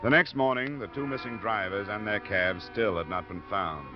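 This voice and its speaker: Man acting a low-voiced, laconic radio detective. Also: normal speed, music in the background, lightly acted. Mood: dry, narrating. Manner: dry, matter-of-fact, understated. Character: laconic, steady male insurance investigator narrating his case. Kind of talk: 1950s radio detective narration and dialogue. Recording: muffled old radio broadcast recording.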